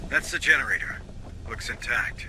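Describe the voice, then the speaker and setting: An actor playing a gruff, no-nonsense marine squad leader in a video game, clipped and commanding. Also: male voice, mid-range voice, normal speed, music in the background, close to an everyday voice, poor audio. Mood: firm. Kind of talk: video game military drama.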